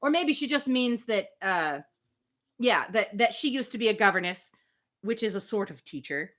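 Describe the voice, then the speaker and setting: A woman reading a classic novel aloud on a live stream, expressive, with casual asides. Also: mid-range voice, moderate pace. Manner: expressive, acts out dialogue.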